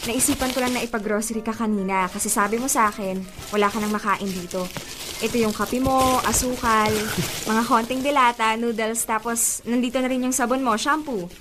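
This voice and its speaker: A woman playing an affectionate, playfully insistent young girlfriend in a radio drama, expressive and coaxing. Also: a little high, fairly quick, background noise, lightly acted. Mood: sweet, cheerful. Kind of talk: Filipino radio drama acting.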